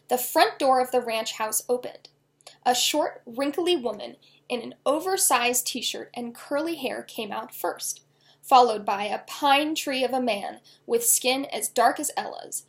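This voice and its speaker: Higher-pitched woman reading a children's novel. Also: measured pace, vocal fry. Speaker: author reading own novel aloud. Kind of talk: expressive children's book reading.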